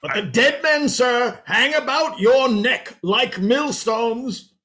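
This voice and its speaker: A man playing a stern, indignant gentleman in a radio play, using formal period speech. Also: very high-pitched, takes it slowly, heavily acted.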